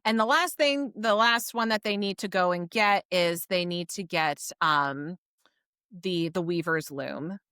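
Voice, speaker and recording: A woman's voice: on the low side, measured pace, fairly bright tone, clear, resonant tone, no echo, studio quality. A woman co-hosting a podcast, recapping a story in an informal, conversational way. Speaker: podcast co-host. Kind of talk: casual book discussion, recapping a story.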